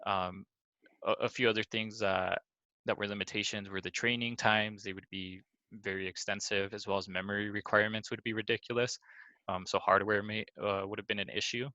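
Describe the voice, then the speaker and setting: Young male student presenter. Lower-pitched, crisp bright tone, informal delivery, close dry recording. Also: little pitch movement, brisk, no echo, highs cut off. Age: young adult.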